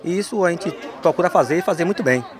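A man's voice: sits high, animated, quick pace. A man, a youth football coach, answering earnestly with many hesitations into a handheld mic outdoors. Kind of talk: outdoor interview. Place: stadium stands outdoors. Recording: crowd noise from a football ground. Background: crowd at a youth football match.

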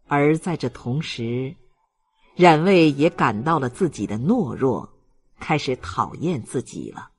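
Casting: male narrator for a classic novel, husky voice, unhurried delivery with lively intonation. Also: mid-range voice. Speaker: audiobook narrator. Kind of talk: scripted literary narration.

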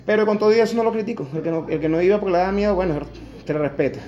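A man's voice: high-pitched, very fast, a little mellow, highs cut off. A man in his forties with a Venezuelan accent, recording an angry, blunt opinion video to camera at home, swearing and mocking with sarcastic asides. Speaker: vlogger. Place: kitchen at home indoors. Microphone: phone camera held close. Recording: echoey room on phone.

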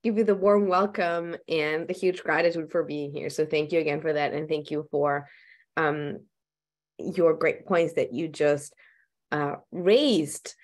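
A woman in her thirties moderating a panel discussion over a webcam, somewhat hoarse, speaking animatedly with rambling questions. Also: low-pitched, fairly even intonation, unhurried. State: losing her voice. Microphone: video call webcam.

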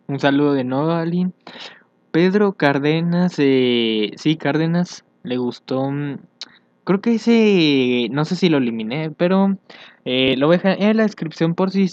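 A young man chatting casually as he reads social media aloud for a vlog, hesitant and thinking out loud. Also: a little high, dry recording. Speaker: fandub YouTuber. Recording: dull, lo-fi home microphone.

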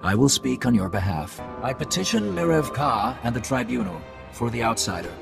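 A grave, gravelly alien mystic. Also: male voice, medium pitch, lively intonation, moderate pace, music in the background. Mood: solemn. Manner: grave, formal, ceremonial. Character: solemn male alien mystic, formal. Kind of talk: video game voice acting.

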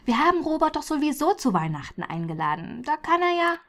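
A man voicing a caring mother who speaks softly and in a hush. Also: very high voice, sing-song, fairly quick, voice pushed up, exaggerated voice. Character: caring, busy mother, adult woman.

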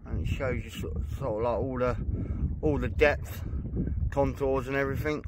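A man talks casually about his boat's chart plotter while out on the water, trailing off and correcting himself as he goes. His voice sits at a middle pitch. Outdoor noise from the water carries clearly behind him. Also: fairly even intonation, normal speed. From England. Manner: rambling, with fillers and self-corrections. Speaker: fishing vlogger. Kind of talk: casual gear review. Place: on a small boat outdoors.

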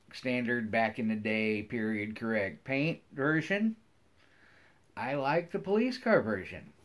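A middle-aged man with an American accent chats casually to viewers as a hobby vlogger, rambling in a folksy way and often repeating his phrases. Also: mid-range voice. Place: wood-paneled room at home, indoors.

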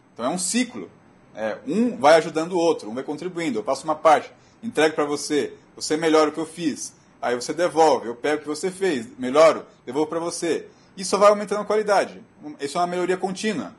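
A Brazilian man with a slightly husky voice giving a technical presentation in a halting, repetitive, explanatory way. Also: on the high side. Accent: Brazilian Portuguese. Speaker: technical speaker. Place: auditorium.

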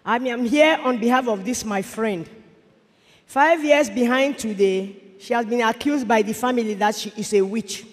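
A woman's voice: a little high, moderate pace. Cameroonian woman testifying in a reverberant hall. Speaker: witness testifying for a friend. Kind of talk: earnest narrated testimony. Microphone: handheld microphone held for her. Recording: echoey large church hall.

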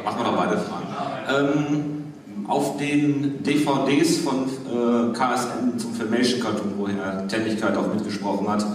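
A man's voice: mid-range voice, steady tone, unhurried, background noise. A man in the audience putting a spontaneous question to a panel. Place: large hall, recorded from the audience. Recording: very echoey hall from far off.

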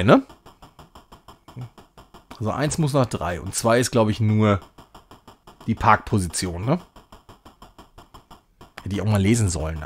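A man's voice: fairly low pitch, a bit bright, a little hiss or hum. A man giving casual live game commentary as a streamer, thinking aloud in short muttered phrases. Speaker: streamer playing a retro puzzle game.

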